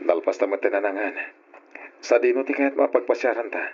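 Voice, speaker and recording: A man's voice: fairly low pitch, brisk, over music, natural voice. An actor with an Ilocano accent playing a devoted, polite young working man in love, in an old radio drama. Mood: attentive, tender. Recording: muffled, noisy old radio drama recording.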